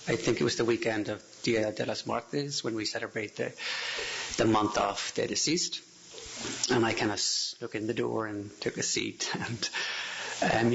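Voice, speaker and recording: Gravelly Irish man speaking to a congregation. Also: on the low side, fairly bright tone, muffled recording, a little hiss or hum. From Ireland. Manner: self-deprecating, rambling with restarts and chuckles. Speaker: guest speaker. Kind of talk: casual talk to a congregation. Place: church hall.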